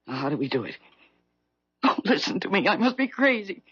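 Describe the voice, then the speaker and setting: An actress playing an anxious, impulsive woman with a husky voice and an agitated, questioning manner in a 1970s radio drama. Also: mid-range voice, sing-song, a little fast, no room sound. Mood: nervous. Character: anxious, impulsive woman, regretful and wanting a new life. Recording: muffled vintage radio broadcast.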